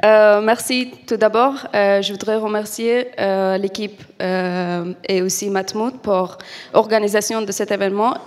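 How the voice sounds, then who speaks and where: Young woman from Afghanistan, halting speech. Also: mid-range voice, fairly even intonation. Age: young adult. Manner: careful, halting, many fillers. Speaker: award winner, football coach. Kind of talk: acceptance speech. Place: event studio stage. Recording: reverberant hall.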